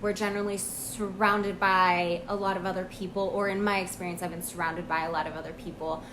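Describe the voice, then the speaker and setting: A woman's voice: fairly low pitch, flat delivery. Woman, American, language coach, casual monologue, earnest, reflective, some hiss in the recording. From United States. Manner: earnest, reflective, with pauses and fillers.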